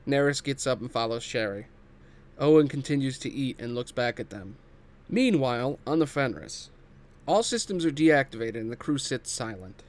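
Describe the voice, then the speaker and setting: A man's voice: mid-range voice, expressive, normal speed. A man narrating scripted stage directions at a table read.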